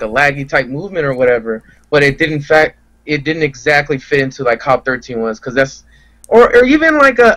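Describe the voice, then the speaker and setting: Guy guest on a gaming podcast, bit higher voice, just talking casual. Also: fairly bright tone. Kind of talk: casual game discussion.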